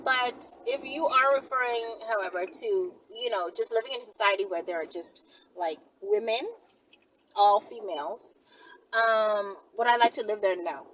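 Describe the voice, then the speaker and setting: A woman's voice: fairly high pitch, normal speed, bright, forceful tone, rough recording. Woman, casual Q&A host, blunt sassy delivery, faint noise and room ambience behind. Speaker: host answering viewer questions. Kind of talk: casual question-and-answer chat.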